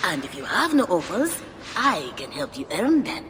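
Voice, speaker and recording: A woman's voice: medium pitch, big pitch swings, normal speed, a bit bright. An actress playing a sly, teasing, scheming female merchant imp in a video game, with a playful, insinuating, theatrical delivery. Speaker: merchant character. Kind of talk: game cutscene acting. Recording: long hall reverb, clear background noise.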